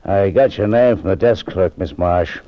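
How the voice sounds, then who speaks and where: An actor playing a gruff, impatient homicide police lieutenant who talks bluntly and curtly, in 1940s radio acting. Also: male voice, sits low, normal speed, slight background noise, natural voice. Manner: blunt, curt. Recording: dull lo-fi 1940s radio broadcast.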